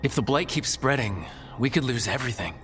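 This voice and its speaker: An actor playing a plain-spoken, steady young video game hero with a dry, understated delivery. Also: male voice, mid-range voice, fairly quick, a little hiss or hum, close to an everyday voice. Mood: wary. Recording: game music clearly behind the voice.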